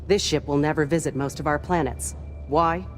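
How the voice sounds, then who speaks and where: An actress playing a fanatically loyal, hard-hearted military ship officer in a video game, earnest and coolly zealous. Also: female voice, sits low, steady tone, moderate pace, slight background noise, lightly acted. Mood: proud. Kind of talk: video game character dialogue.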